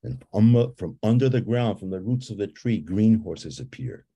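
A man from Guatemala with a very deep, husky voice guides a meditation and tells a story. His tone is mellow and unhurried, and he repeats gentle invitations to feel and imagine. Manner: slow, calm and repetitive, with soft repeated invitations. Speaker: ceremony leader guiding a visioning exercise.

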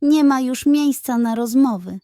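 A woman's voice: sits high, measured pace, warm tone, no room sound, voice pushed up. A woman voicing a proud warrior king of hearts in a children's storybook. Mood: defiant.

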